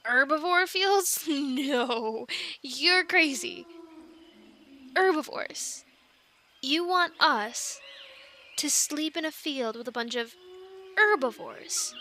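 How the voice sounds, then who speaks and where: A woman playing a plucky young island castaway in an ASMR roleplay, chatting in an animated, halting, stammering way. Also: high-pitched, a little hiss or hum, exaggerated voice. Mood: incredulous, amused. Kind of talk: ASMR roleplay, conversational one-sided dialogue.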